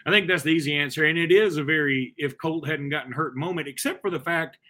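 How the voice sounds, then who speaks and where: A man's voice: fairly high pitch, fast talker, sharp tone. A man hosting a casual sports talk show, chatty and opinionated as he leads the conversation.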